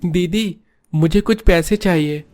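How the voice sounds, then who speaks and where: A man's voice: sits high, unhurried, a little mellow, dry recording. A man voicing a polite schoolboy younger brother who asks his sister for money in a cartoon story. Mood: pleading.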